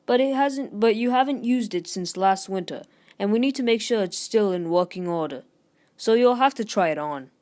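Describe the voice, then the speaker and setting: A woman's voice: mid-range voice, lively intonation, normal speed, darkish tone, natural voice. A kindly train driver from a children's storybook, voiced by a woman. He is cautious, practical and reassuring.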